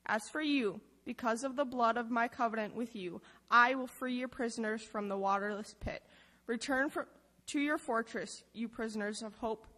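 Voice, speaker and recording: A young woman reads scripture aloud in worship. Her voice is bright and on the high side, carried by the long reverb of a hall. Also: moderate pace. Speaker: scripture reader. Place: church lectern.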